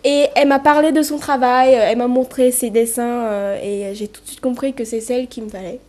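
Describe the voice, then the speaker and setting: A woman's voice: fairly high pitch, fairly even intonation, a little fast, darkish tone. A young woman, a musician and brand founder, answering interview questions hesitantly and earnestly. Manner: hesitant, many fillers, earnest. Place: TV studio.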